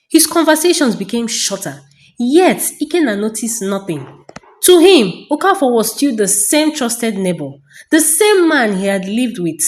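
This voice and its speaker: High-pitched, animated woman telling a folktale. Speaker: storyteller narrator. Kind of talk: dramatic scripted folktale narration.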